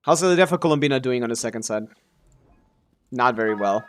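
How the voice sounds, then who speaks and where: A man's voice: medium pitch, lively intonation, brisk. A man giving casual live game stream commentary, thinking aloud as he talks through his options.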